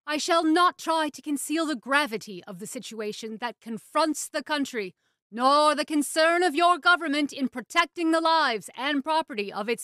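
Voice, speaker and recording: Woman as a high-voiced, stately government secretary. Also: a bit bright, dry recording, studio quality. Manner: stately, deliberate, oratorical. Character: solemn female government secretary addressing the nation. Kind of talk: formal radio address in a drama.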